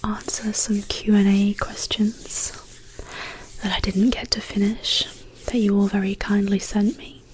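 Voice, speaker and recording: A woman speaking as an ASMR host in a hushed, whispered, casual question-and-answer style. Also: medium pitch, flat delivery, low-quality recording. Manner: slow, hushed, gently paced delivery.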